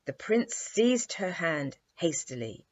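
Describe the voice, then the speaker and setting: A woman reading a children's bedtime story aloud in an animated, measured storytelling manner. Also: fairly low pitch, no echo. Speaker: storybook reader. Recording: dry, slightly dull lo-fi recording.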